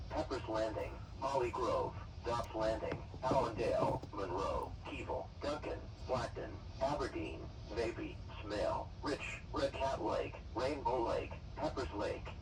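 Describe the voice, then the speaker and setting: A synthetic man's voice reading a formal scripted weather warning as a radio announcer. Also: medium pitch. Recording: distant weather radio broadcast, loud noise.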